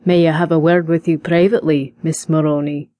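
A woman voicing an intense, serious Scottish bodyguard in an audiobook, speaking slowly in a deep, hushed voice. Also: little pitch movement, no room sound, clean studio sound, lightly acted. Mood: politely intent. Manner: slow, deliberate.

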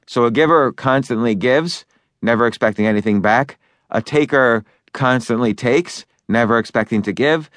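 A man's voice: medium pitch, moderate pace, a bit bright, no echo, clean studio sound. A man narrating his own book in a loose, conversational way, with fillers and restarts as he talks over each point. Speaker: author and narrator.

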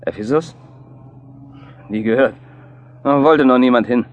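A man's voice: medium pitch, unhurried, phone-quality sound, natural voice. A man playing a cheerful, superstitious taxi driver in a radio play, breezy and colloquial. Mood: puzzled, amused. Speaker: actor in an audio drama. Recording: noisy, with car and traffic sounds. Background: car engine and street ambience.

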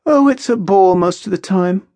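A woman narrator voicing a lively, teasing, earnest young woman with a lyrical, expressive way of talking in a story. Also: mid-range voice, normal speed, no room sound, pitched above the performer's own voice, close to an everyday voice. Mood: bright, emphatic.

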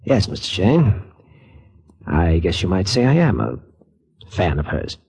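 A man's voice: deep voice, very animated, unhurried, creaky, darkish tone, breathy edge, exaggerated voice. An actor playing a polite, guarded middle-aged male theater director who speaks with hesitation, in 1940s radio style.

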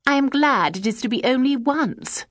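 A stately, severe agency keeper, as a woman reader puts her on, talks down to people with haughty, crushing firmness. Her lines sit high and carry more force than the narration. The recording is dry and close. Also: animated, moderate pace, fairly bright tone, no echo.

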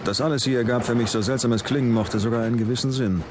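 An actor playing a world-weary, sardonic and brooding homicide detective in a German crime audio drama. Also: male voice, mid-range voice, fairly even intonation, very fast, background noise, lightly acted. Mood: grave, brooding. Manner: sardonic in dialogue, grave and dramatic when narrating. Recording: loud music bed under voice. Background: loud music, outdoor city and vehicle sounds.

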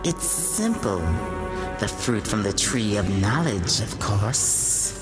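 An actor voicing a sly, tempting devil in serpent form for an audiobook Bible drama, smug and insinuating. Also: male voice, fairly low pitch, animated, slow. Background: music.

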